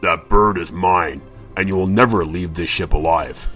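A man's voice: deep voice, moderate pace, fairly bright tone, faint music behind. A man playing a bellowing pirate captain. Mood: cold threat. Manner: bellowing, threatening. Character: menacing murderous male pirate captain.

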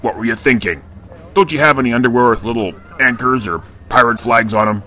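A man voicing a hulking, menacing pirate captain with a gruff baritone and a commanding, blustering manner. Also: a little fast, bright, forceful tone, a little hiss or hum, lightly acted. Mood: indignant. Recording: narration with music underneath.

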